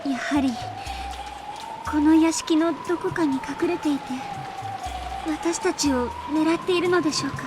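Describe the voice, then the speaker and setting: A high-voiced, fearful woman played by an actress in a visual novel. She speaks politely but anxiously and quickly. Loud music runs underneath. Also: steady tone, natural voice. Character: anxious, polite woman fearing a hidden killer. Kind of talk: Japanese visual novel voice acting. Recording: loud music over game audio.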